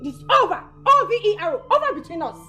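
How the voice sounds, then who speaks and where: A woman playing a fiery, shrill girlfriend. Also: sing-song, fast talker, bright, forceful tone, faint music behind, exaggerated voice. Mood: angry. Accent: Nigerian. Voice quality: shrill, indignant. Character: fiery young woman confronting her jealous boyfriend. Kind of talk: comedy skit acting.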